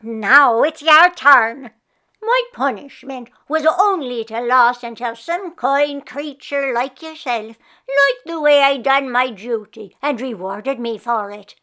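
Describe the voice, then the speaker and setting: A woman voicing a weary male pooka, a once-lazy servant spirit in a storybook, with a drawn-out, plaintive delivery. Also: high voice, animated, pushed and bright, heavily acted. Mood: grateful, final.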